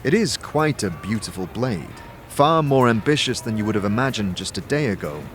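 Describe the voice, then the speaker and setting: A man's voice: fairly low pitch, very animated, normal speed, faint music behind. A man narrating a fantasy audio drama and playing its wry adventurer, in a dramatic scripted style. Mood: storytelling. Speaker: game-master narrator and player character. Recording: faint background noise.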